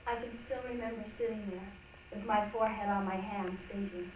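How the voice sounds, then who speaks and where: A woman reciting on stage as a reader's theatre performer, with expressive, dramatic delivery. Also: medium pitch, fairly quick. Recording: echoey hall from far off, faint noise.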